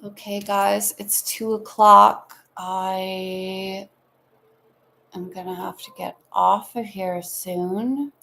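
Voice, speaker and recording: A woman's voice: medium pitch, steady tone, drawn-out words, gravelly, pushed and bright. A woman giving a live tarot reading from home, talking in a soothing, meandering, spiritual way with affectionate asides. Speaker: tarot reader.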